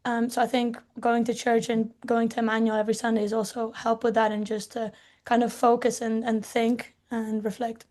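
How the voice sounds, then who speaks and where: Flat, high-voiced young woman. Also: moderate pace. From Spain and England. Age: young adult. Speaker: student. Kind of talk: personal testimony.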